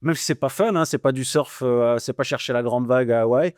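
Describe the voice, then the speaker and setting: A man from northern France with a ch'ti accent, a guest telling his travel stories in an animated, slangy way with many restarts. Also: mid-range voice, brisk, no echo, clean studio sound. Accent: northern French (ch'ti). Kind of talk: casual interview conversation.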